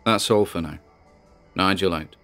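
A man's voice: low voice, unhurried, fairly bright tone, faint music behind. A man playing an anxious, wry grandson who chattily records a spoken diary in an audio drama. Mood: wry, exasperated.

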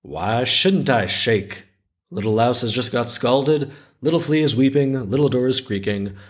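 A man's voice: mid-range voice, a little slow, pitched above the performer's own voice, lightly acted. A man reading the part of a frantic, defensive little household object in a folktale, in an emphatic, indignant recital.

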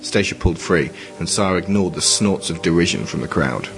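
A man's voice: deep voice, a little fast. A man reading scripted narration as the narrator of an audio drama. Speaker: narrator and project creator. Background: music.

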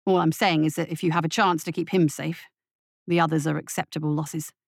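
A woman's voice: deep voice, little pitch movement, a little fast, vocal fry, no room sound, studio quality, pitched below the performer's own voice. A woman voicing a dutiful male police deputy in an audiobook, grim, deferential and matter-of-fact. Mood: coldly pragmatic. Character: dutiful, pragmatic male police deputy reporting to his captain.

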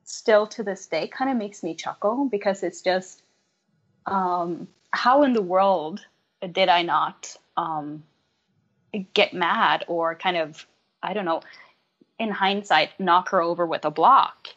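A woman's voice: on the low side, slow, a bit bright, dull audio. Hesitant Norwegian woman, yoga teacher. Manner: reflective, many ums and hesitations. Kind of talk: casual podcast conversation.

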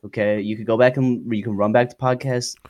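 A man's voice: mid-range voice, steady tone, a little fast, a little mellow. A man co-hosting a comedy podcast, teasing in a sardonic, crude manner. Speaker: podcast co-host.

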